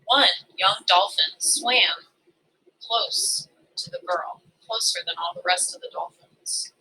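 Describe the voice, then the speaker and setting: Woman doing a kids story read-aloud, really bright crisp edge, slow pace, bit of noise behind. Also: lowish voice, rough recording. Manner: dramatic, deliberate phrasing.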